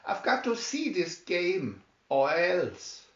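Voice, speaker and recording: Man as bossy cartoon woman, raised pitch, scolding, fretful, impatient, roomy sound. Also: huge pitch swings, slow, a bit bright, heavily acted. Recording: dull, roomy home recording.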